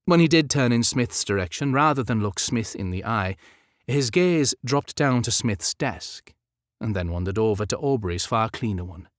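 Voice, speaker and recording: A man narrating a historical romance novel as an audiobook, in measured, dramatic storytelling. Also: mid-range voice, dry recording, studio quality.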